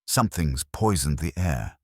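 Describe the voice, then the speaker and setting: A man voicing an anxious, determined woman who owns a bakery, halting and earnest in a detective story. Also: very deep voice, animated, breathy edge, no room sound, clean studio sound, exaggerated voice. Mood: worried, grave.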